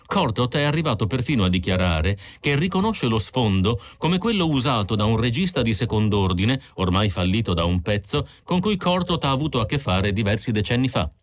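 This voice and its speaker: A man's voice: mid-range voice, big pitch swings, a little fast, few pauses, voice pushed up, natural voice. A man voicing an earnest chief investigator explaining findings on television, emphatic and declarative, in an audiobook. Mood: solemn, revelatory.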